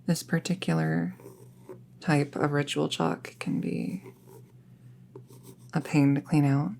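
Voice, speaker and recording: A woman playing an earnest apprentice witch in an intimate roleplay audio drama, speaking in a hesitant, gently reassuring way. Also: low-pitched, level tone, takes it slowly, creaky, slight background noise, full character voice. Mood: reassuring, explanatory. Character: earnest, careful apprentice witch, close friend to listener.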